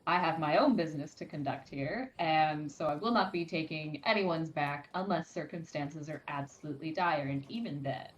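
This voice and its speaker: A woman's voice: low-pitched, normal speed, hardly any pauses, lo-fi sound, lightly acted. A woman voicing an aloof, businesslike adventurer with a firm, formal manner in tabletop roleplay. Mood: indignant, firm.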